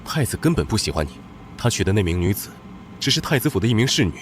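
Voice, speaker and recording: A man voicing a stern young general who scolds his sister curtly, in an animated drama. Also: lowish voice, fast talker, slight background noise. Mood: angry. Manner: curt, scolding. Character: stern young war-god general, her elder brother.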